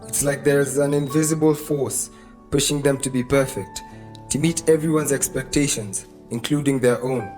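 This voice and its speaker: A Kenyan man narrates a scripted radio drama. His voice is medium in pitch, with music playing faintly underneath. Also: moderate pace, darkish tone. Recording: music behind the voice. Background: background music.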